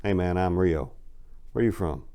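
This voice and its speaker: A man's voice: low-pitched, a little slow, mellow, smooth. A man playing a mischievous young streetwise with a casual, teasing way of talking. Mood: cheeky, curious.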